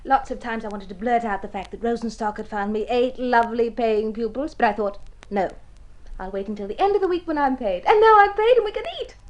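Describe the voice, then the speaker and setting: An actress playing a devoted, excitable young wife in an old radio drama, theatrical and expressive. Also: female voice, on the high side, a little fast, close to an everyday voice. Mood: delighted, proud. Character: devoted young wife, struggling music student, proud and excitable. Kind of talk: mid-century radio drama acting.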